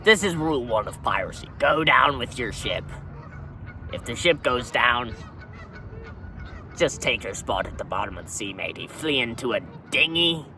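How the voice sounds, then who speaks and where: A crude, swaggering pirate who rambles through offhand rants, dropping "matey" at every turn, as a man puts him on. The voice is bright and forceful, with a clear edge, over faint outdoor noise. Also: medium pitch, animated, moderate pace. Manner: pirate slang, keeps saying "matey". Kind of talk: comic character monologue.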